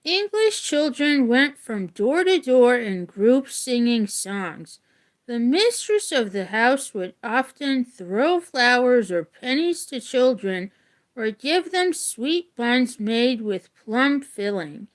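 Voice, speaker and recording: A woman teacher reading a story aloud expressively and deliberately, with casual explanatory asides. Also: fairly high pitch, big pitch swings.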